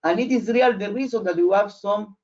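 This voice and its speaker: A man who is not a native English speaker, giving an explanatory technical presentation in a halting way, searching for words. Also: high voice, a little mellow. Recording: muffled, dull online audio.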